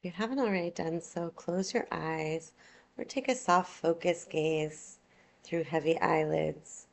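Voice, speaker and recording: Slow, creaky-voiced woman guiding relaxation. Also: low voice. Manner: soothing, unhurried, gentle instruction. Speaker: yoga nidra teacher. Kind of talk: guided relaxation meditation.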